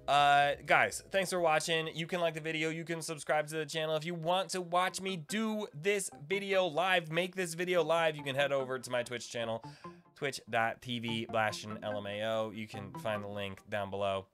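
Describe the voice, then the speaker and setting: Playful male game streamer over clear music. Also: a little high, steady tone, moderate pace, a bit bright. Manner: playful, jokey, slangy. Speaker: video game streamer and guide host. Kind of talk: casual livestream gameplay commentary. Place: small indoor room, streaming setup. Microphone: close desk mic on boom arm.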